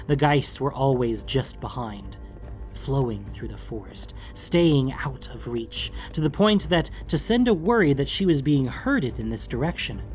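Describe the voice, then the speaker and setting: Male fantasy audiobook narrator over music. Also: medium pitch. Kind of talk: scripted dramatic fantasy narration. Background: background music.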